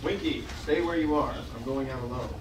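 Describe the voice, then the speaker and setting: A man's voice: medium pitch, a little fast, close to an everyday voice. A man playing a firm, brave spaceship pilot in an amateur script table read. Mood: firm, urgent. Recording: roomy, far from mic, noisy room.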